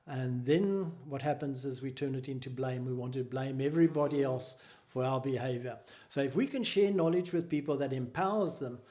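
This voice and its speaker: A man with a South African accent, a charity founder calmly explaining his work in an interview. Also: medium pitch. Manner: measured, explanatory. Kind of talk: interview answers.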